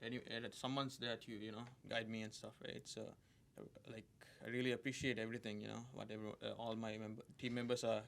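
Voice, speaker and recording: A man's voice: on the low side, level tone, fast, creaky, a bit bright. A young man from Sri Lanka answering interview questions hesitantly, with many likes and uhs. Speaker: lead designer, guest.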